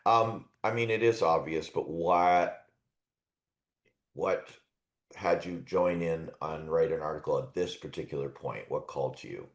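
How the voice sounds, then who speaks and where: A man's voice: very deep voice, unhurried. A man hosting a coaching podcast interview, upbeat and laughing often. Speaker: podcast host. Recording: roomy echo.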